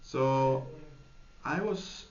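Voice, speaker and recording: A man's voice: medium pitch, very slow. A man from Sweden, answering interview questions hesitantly, with many fillers and restarts. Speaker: software developer, interviewee. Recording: echoey room, dull lo-fi sound, faint noise.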